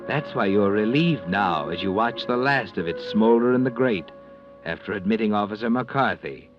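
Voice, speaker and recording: A male actor as an ominous, mocking storyteller with a low voice. Music plays clearly behind his vintage radio narration, which sounds muffled. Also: lively intonation, normal speed, lightly acted. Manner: ominous, taunting second-person address. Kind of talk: 1940s radio mystery narration.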